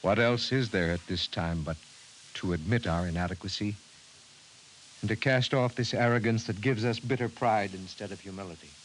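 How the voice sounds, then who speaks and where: Man playing philosophical professor, very low voice, solemn and humble, 1950s radio drama, slight hiss. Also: lightly acted, low-quality recording. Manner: solemn, measured oratory. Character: humble, reflective male scientist professor. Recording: hissy vintage 1950s radio recording.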